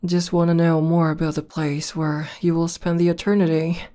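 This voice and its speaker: A woman playing a tough but devoted tomboy war demon girlfriend in an intimate ASMR roleplay, speaking softly, tenderly and haltingly. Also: deep voice, level tone, natural voice. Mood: tender, affectionate. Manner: soft, halting, tender delivery.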